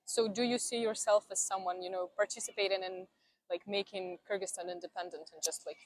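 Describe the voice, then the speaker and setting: Young woman, student host; fast-talking, encouraging interviewer. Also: mid-range voice, steady tone, rough recording. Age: young adult. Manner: brisk, encouraging backchannels. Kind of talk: casual interview questions. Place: outdoor city park.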